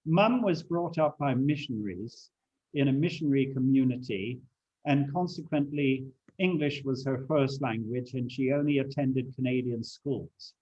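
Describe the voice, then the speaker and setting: A man in his seventies who grew up in China, recalling his life in relaxed talk with many fillers and restarts over a video call. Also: medium pitch, moderate pace, husky, muffled recording. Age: 70s. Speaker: guest speaker. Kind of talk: relaxed conversational recollection.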